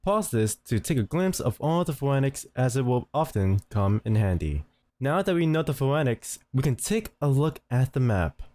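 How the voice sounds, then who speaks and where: A man's voice: mid-range voice, very animated, moderate pace, dark tone. A man giving scripted instructional narration as the host of a tutorial, speaking close to the mic.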